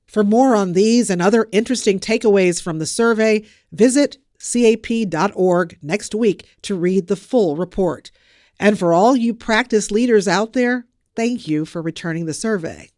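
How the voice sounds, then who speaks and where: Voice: medium pitch, moderate pace, darkish tone, no room sound, clean studio sound. A podcast news host reading scripted narration.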